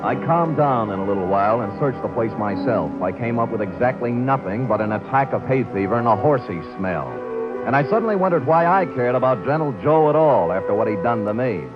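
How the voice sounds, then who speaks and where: A hard-boiled private detective, played by a man, narrates in clipped, blunt sentences. The recording is dull and lo-fi, with loud vintage music underneath. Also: medium pitch, expressive, fairly quick, close to an everyday voice. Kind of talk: 1940s radio acting.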